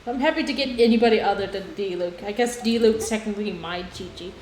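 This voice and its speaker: A woman's voice: medium pitch, brisk, a little mellow, a little hiss or hum. A woman chatting casually as she livestreams a video game, with game music behind her. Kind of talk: casual livestream gaming chat. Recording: echoey room, music behind.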